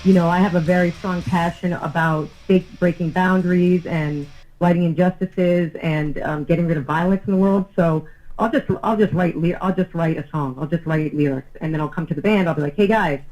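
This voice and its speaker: A woman's voice: fairly low pitch, steady tone, brisk, darkish tone, highs cut off, soft background music. A woman, lead singer of a band, talking as a guest in a casual radio interview, upbeat and chatty with many fillers.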